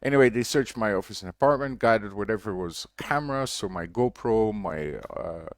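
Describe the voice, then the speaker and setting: Low-voiced man telling a long story. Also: normal speed, no room sound, studio quality. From Belgium. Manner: rambling, many fillers and restarts. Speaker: diver and reef conservation project lead. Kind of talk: casual livestream update, storytelling.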